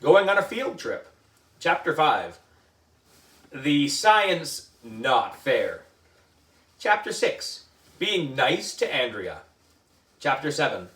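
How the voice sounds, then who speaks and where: A man reads a children's story with big swings in pitch and theatrical emphasis. He takes his time over the words, and he sounds set back from the mic in an echoey room. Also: sing-song, slow pace, pauses often, a bit bright, distant mic. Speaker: book reader for a children's book club. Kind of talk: animated children's story reading.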